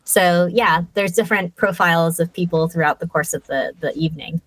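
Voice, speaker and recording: A woman's voice: lowish voice, fairly quick, no room sound, rough recording. A woman who speaks English as her first language, a guest chatting in an interview and describing her family's old business in rich detail, with fillers and small laughs. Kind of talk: casual storytelling in an interview.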